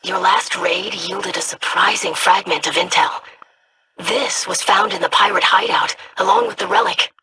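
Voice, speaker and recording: A formal, curious young alien scholar, voiced by a woman for a video game, speaking in a measured, studious way. Her voice is bright and sits at a middle pitch. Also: fairly even intonation, a little hiss or hum, natural voice. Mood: scholarly. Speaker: researcher.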